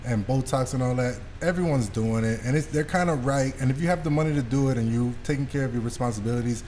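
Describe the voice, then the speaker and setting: A man's voice: medium pitch, fast, mellow, slight background noise. A man hosting a podcast, chatting casually and teasingly with plenty of swearing. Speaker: podcast host. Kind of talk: casual joking group chat.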